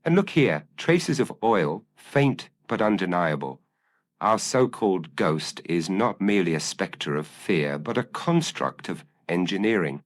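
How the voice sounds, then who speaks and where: A man voicing a shrewd, confident gentleman detective in an audio story, speaking precisely and formally. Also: sits low, big pitch swings, measured pace. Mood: assured, analytical.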